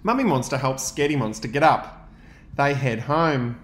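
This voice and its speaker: A young adult male teacher, reading a picture book aloud to young students and pausing to ask them questions. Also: medium pitch, roomy sound. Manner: gentle, expressive storytelling with pauses to ask questions.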